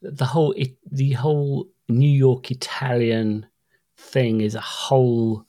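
A man's voice: mid-range voice, flat delivery, slow, warm tone, no echo. A man co-hosting a podcast, chatting casually with playful, teasing banter. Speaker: podcast co-host. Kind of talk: casual chat.

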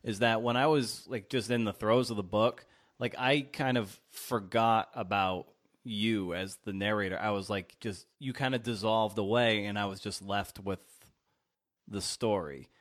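Halting, informal male podcast host. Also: lowish voice, steady tone, a bit bright. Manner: halting, informal, restarts phrases often. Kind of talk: casual interview conversation.

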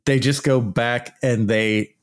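A man with a naturally loud, shouty voice, ranting casually and animatedly in a vlog about archaeology comments. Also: medium pitch, fairly even intonation, slow pace. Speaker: archaeology YouTuber.

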